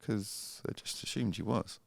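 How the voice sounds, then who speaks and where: A man's voice: fairly low pitch, a little slow, creaky, no echo, clean studio sound. A man in his early forties with a southern English accent, co-hosting a podcast in a cheeky, informal, teasing way. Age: early 40s. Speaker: podcast co-host. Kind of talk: casual podcast banter.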